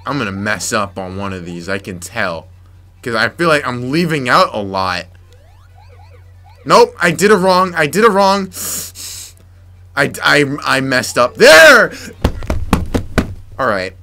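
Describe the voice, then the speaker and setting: A young man commentating his gameplay, frustrated and muttering to himself with sudden yelps, slightly raspy from yelling. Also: mid-range voice, sing-song, slow pace, long pauses. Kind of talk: let's play commentary. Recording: faint game music behind.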